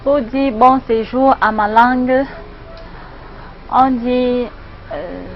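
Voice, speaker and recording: A Cameroonian woman speaks very slowly and haltingly in a street interview, in a fairly high voice. The recording is dull and lo-fi, with faint music behind. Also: steady tone, a little hiss or hum. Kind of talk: vox pop greeting to camera.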